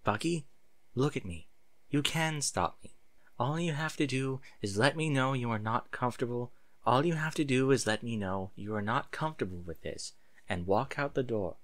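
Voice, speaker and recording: A man voicing a motherly female pony teacher, gentle yet firm, with a soothing, measured and earnest manner. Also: mid-range voice, dry recording, lightly acted. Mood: soothing, concerned.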